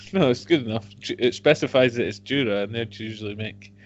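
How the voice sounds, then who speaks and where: A man co-hosting a casual whisky podcast, dry and a bit hesitant, often trailing off quietly. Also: medium pitch, fairly quick. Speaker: podcast co-host. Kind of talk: casual tasting chat. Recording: dull lo-fi home podcast audio.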